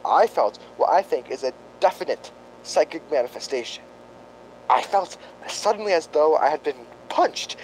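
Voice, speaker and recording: A pompous male psychic investigator voiced by an actor reports in formal, deliberate phrases. His fairly high voice moves widely in pitch, in the manner of old radio drama, over a faint rumbling noise. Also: low-quality recording. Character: grave, self-important male psychic investigator doctor.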